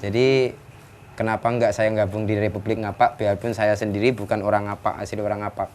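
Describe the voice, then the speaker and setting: A man answering interview questions in a plain, flat delivery. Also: on the low side, brisk.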